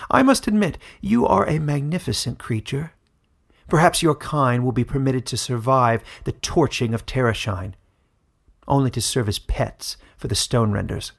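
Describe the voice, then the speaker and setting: A man's voice: medium pitch, animated, normal speed, vocal fry. A man voicing a cruel, mocking woman ruler in a fantasy audiobook, haughty and theatrical in delivery. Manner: scornful, sneering, theatrical. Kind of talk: dramatic fantasy audiobook character reading.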